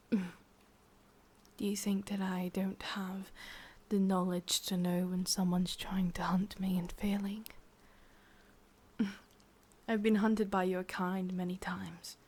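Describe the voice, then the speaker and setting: Breathy whispering English witch, played by a woman. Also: medium pitch, little pitch movement. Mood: smug, knowing. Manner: soft, intimate whispering, teasing and unhurried. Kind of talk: whispered ASMR roleplay.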